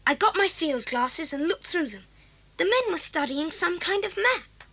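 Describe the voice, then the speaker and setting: Woman as an adventurous schoolboy, high and bright, eager, earnest, practical, 1980s children's audio drama. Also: lively intonation, moderate pace, exaggerated voice.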